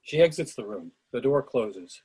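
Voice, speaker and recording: A man's voice: medium pitch, animated, moderate pace, a little mellow, husky, no echo. A man reading screenplay stage directions aloud as the narrator and moderator of a table read.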